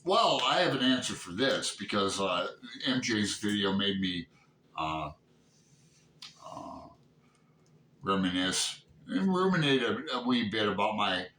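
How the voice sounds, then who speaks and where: A man with a Midwestern American accent talks casually in an echoey room with some noise behind him. His voice is on the low side and bright. He hosts like a book vlogger, halting often, drifting into asides and asking himself questions. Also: sing-song. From Toledo, Ohio, United States. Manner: halting, digressive, self-questioning. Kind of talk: casual rambling chat to viewers.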